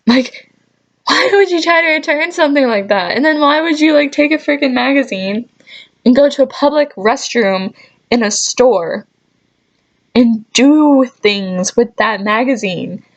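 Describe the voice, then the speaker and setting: Slow-paced woman chatting casually, joking. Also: sits high, expressive, lo-fi sound. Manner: informal, joking, mock-scolding with dry asides. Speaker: vlogger telling work stories. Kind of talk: casual comedic storytelling to camera.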